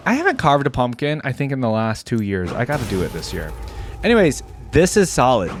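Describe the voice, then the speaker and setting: Animated man reacting to a game. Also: mid-range voice, brisk, soft background music. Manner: rambling, interjecting, thinking aloud. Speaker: reaction video host. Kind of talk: casual reaction commentary on video game skins.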